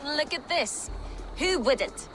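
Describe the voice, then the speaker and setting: A woman acting a bold, rough-edged Viking warrior in a video game, with a wry, declarative way of talking. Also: sits high, animated, slow, over music. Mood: wry, hopeful. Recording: watery game ambience noise behind.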